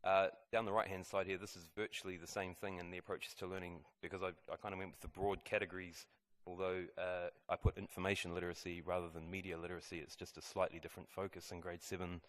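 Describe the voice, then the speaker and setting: A man's voice: deep voice, little pitch movement, fast talker, few pauses, low-quality recording. A man who heads a school maths department, steadily explaining the curriculum to parents in a school presentation room.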